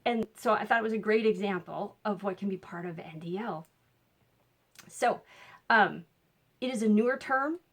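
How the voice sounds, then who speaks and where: A woman with a clear Minnesotan accent talks straight to her viewers like a coach. Her voice sits mid-range, chatty and upbeat, with easy fillers and small laughs. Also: mid-range voice, normal speed, long pauses, a bit bright. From Minnesota, USA. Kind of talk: casual direct-to-camera talk.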